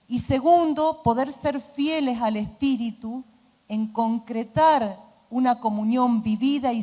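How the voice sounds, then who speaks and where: A woman's voice: on the high side, a little mellow. A woman making an earnest, reflective, spontaneous comment from a conference audience. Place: large conference hall. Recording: echoey hall.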